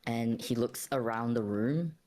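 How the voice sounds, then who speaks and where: A man casually narrating his character's actions in a tabletop roleplaying game, pausing to think as he goes. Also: mid-range voice, darkish tone.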